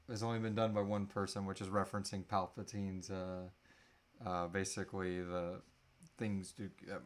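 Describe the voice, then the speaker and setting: A man in his thirties with a fairly low voice hosts a relaxed live Q&A. He speaks in a halting way, letting thoughts trail off before picking them up again. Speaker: host answering audience questions. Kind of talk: casual live Q&A chat. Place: small indoor room.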